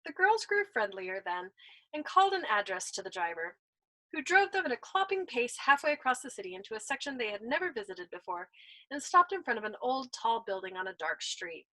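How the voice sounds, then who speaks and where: Woman reading a story out loud, bright voice, pitch all over the place, fixes her slips as she goes. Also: fairly quick, poor audio. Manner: expressive, animated reading with small slips. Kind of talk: reading a novel aloud.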